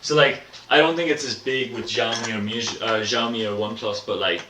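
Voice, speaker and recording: A man's voice: mid-range voice, a little fast. Young man, echoey and far from the mic. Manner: rambling, filler-heavy, laid-back. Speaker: podcast host. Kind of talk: casual podcast chat. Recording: echoey room, distant mic, noisy.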